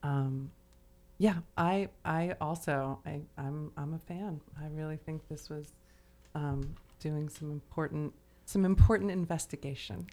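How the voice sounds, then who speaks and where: A woman with a low voice chats as a podcast co-host about literature. She talks in a searching, halting way, stressing key words and checking that listeners follow. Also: warm tone. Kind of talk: literary discussion, casual chat.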